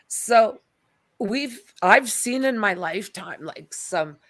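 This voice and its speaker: A woman hosts a laid-back livestream chat from home. She talks in a loose, wandering way, full of fillers and asides. Her voice sits at an ordinary middle pitch. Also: slow pace. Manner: rambling, relaxed and chatty, with frequent fillers. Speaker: livestream host. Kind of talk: casual chat.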